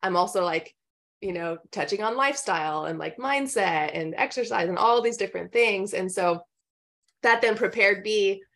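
A woman's voice: medium pitch, moderate pace, a bit bright. A woman in her thirties, a nutritionist, telling her story in a casual podcast interview, chatty and full of "like" and "um", laughing now and then. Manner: chatty, many fillers like "like" and "um", laughs often.

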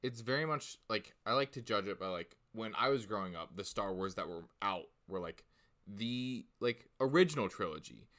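Chatty man in his thirties, low-voiced. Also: animated, fairly bright tone. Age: 30s. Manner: chatty, filler-heavy, quick to laugh. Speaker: podcast host. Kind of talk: casual podcast chat.

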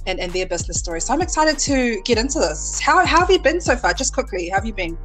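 A woman's voice: on the high side, sing-song, fast talker, fairly bright tone, faint music behind, low-quality recording. A woman from New Zealand hosting a podcast, upbeat and enthusiastic, full of effusive praise for her guest. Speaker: podcast host. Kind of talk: casual interview chat.

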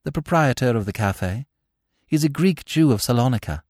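A man's voice: medium pitch, animated, fairly quick, a little mellow, no echo, studio quality, pitched above the performer's own voice. A man voicing a respectful former soldier who gives a reluctant confession in an audiobook. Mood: cooperative, respectful. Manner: hesitant, respectful. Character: deferential male ex-soldier confessing as a bribed witness.

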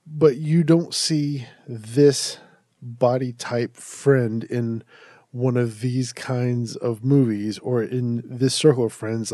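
A man hosts a casual horror review podcast, his voice mellow and a little nasal, like he is stuffed up from allergies. He talks in a chatty, rambling way, restarting his sentences as he goes. The sound is close and dry, with no room around it. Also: mid-range voice, no room sound. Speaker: podcast host.

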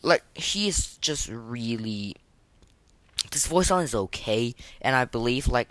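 This is a podcast host talking through game opinions off the cuff. The delivery is halting and rambling, full of slangy verdicts. The voice sits at a middling pitch, recorded dry with very little room. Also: lively intonation, fairly bright tone, no echo. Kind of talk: casual unscripted game review commentary.

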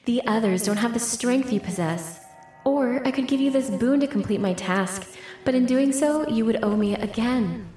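A woman playing a haughty, gloating fey queen in a dark fantasy audio drama, mocking and theatrical, with an echo on her voice. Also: fairly high pitch, normal speed, faint music behind. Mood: gloating, scheming. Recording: echoey, distant-sounding voice.

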